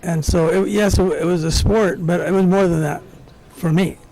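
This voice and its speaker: A man's voice: high-pitched, fairly even intonation, moderate pace, dark tone, rough recording. A man in his sixties, born in Mexico and raised in the United States, casually recalling his life as an interview guest. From born in Mexico, grew up in the United States from early childhood. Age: 60s. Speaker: interview guest, former track runner. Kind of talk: casual personal recollection.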